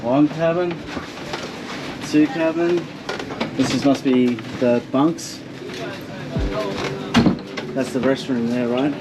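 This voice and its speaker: A man casually vlogging his trip through an airport and onto a yacht, relaxed and joking. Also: mid-range voice, slow, pauses often, very noisy.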